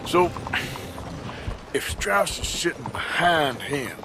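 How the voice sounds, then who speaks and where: An actor playing a gruff, modest, sardonic American outlaw in a video game, talking in a dry, folksy mumble. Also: male voice, fairly high pitch, big pitch swings, takes it slowly, over music, full character voice. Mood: skeptical, dry. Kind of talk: video game cutscene acting.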